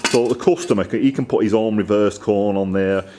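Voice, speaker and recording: A man's voice: on the low side, fairly quick, darkish tone. A middle-aged man casually chatting in his workshop, rambling and enthusiastic as he shows off the exhausts he makes. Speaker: motorcycle exhaust maker. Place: indoor workshop.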